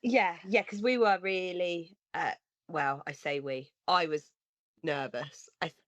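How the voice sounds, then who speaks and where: A woman in her thirties with a cold, sounding slightly nasal and husky, chatting earnestly as a podcast co-host. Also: fairly low pitch, measured pace, no room sound. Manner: earnest, rambling with restarts. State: has a cold, sniffly. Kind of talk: casual conversation. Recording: dry, slightly dull lo-fi sound.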